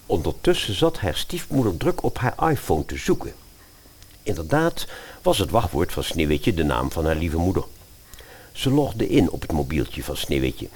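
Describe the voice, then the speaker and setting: A man narrating a comic fairy-tale audio drama as an expressive storyteller. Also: sits low, moderate pace, husky.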